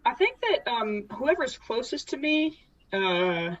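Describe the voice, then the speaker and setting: A woman's voice: a little high, sing-song, slow. Woman player on muffled, lo-fi audio. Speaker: tabletop role-playing player. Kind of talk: casual improvised role-play. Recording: muffled, band-limited lo-fi audio.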